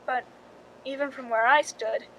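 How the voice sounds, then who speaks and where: A woman's voice: fairly even intonation, a little slow, gravelly, a bit bright, dull audio, full character voice. A woman playing a cool, calculating young Hollywood stand-in who tells her story drily in a suspense radio drama. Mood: wry, reflective. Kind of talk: 1940s-style suspense radio drama acting. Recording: noisy recording with low rumble.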